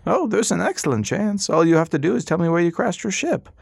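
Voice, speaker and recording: A man's voice: sits high, lively intonation, fast talker, vocal fry, slightly rough, no room sound, clean studio sound, pitched above the performer's own voice, exaggerated voice. A man voicing a fussy, pompous elderly doctor who speaks with prim formality.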